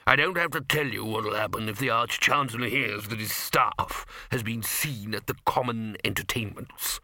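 A man's voice: fairly low pitch, normal speed, sharp tone, no echo. Man acting dry, bossy old senior wizard. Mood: deadpan, exasperated. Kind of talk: audiobook character acting.

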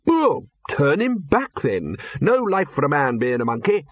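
A man reading an audiobook voices a gruff, blunt old male wizard chief who barks short phrases. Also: a little high, sing-song, a little slow, dry recording, lo-fi sound, pitched above the performer's own voice. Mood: blustering. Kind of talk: audiobook character voice.

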